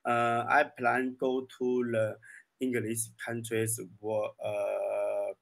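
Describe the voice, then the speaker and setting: Chinese guy in his 30s learning english, really slow, halting, repeats words, broken grammar. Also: mid-range voice, level tone, vocal fry. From China. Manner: hesitant, broken English with repetition. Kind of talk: halting conversation practice. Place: online video lesson call.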